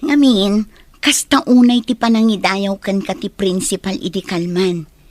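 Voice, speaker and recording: A woman's voice: mid-range voice, fairly even intonation, measured pace, darkish tone, no echo, close to an everyday voice. A woman playing a friendly, loyal and chatty female teacher in an audio drama. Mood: concerned. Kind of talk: Ilocano audio drama acting.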